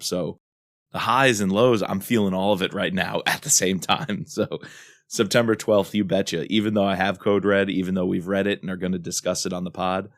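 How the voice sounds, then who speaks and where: A man's voice: low-pitched, no room sound, studio quality. A man co-hosting a podcast in a casual, enthusiastic and emphatic discussion style. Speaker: podcast co-host.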